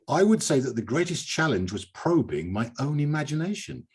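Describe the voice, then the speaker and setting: Older British male host, lively swooping delivery, husky edge, chatty and given to asides. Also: mid-range voice. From Britain. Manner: chatty, digressive, emphatic repetition. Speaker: host of a poetry reading. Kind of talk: informal event hosting, reading out written replies.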